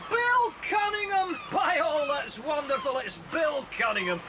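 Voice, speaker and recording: An actor playing a loud, emphatic armed airman calling out in a children's audio adventure drama. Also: very high-pitched, animated, a little slow. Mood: astonished, friendly. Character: loud gruff armed airman hailing from seaplane. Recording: distant, noisy old cassette story tape.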